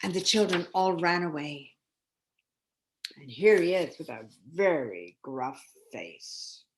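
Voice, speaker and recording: A woman's voice: sits low, expressive, slow pace, slight background noise. A woman storyteller reading a children's story aloud, expressively and with dramatic emphasis.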